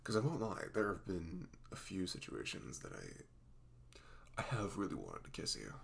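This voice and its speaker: A man acts out a sweet, nervous boyfriend in an intimate ASMR roleplay. His voice is very low and gravelly, swinging widely in pitch as he stammers and apologizes tenderly. A little noise sits behind him. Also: wildly swooping pitch, heavily acted. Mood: sheepish, nervous. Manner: stammering, halting, apologetic, breaking into soft nervous murmurs.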